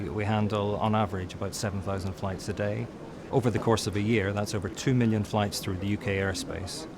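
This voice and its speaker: A middle-aged man gives a measured interview statement as a spokesman. His voice is on the low side, with clear outdoor urban noise behind him. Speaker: company spokesman.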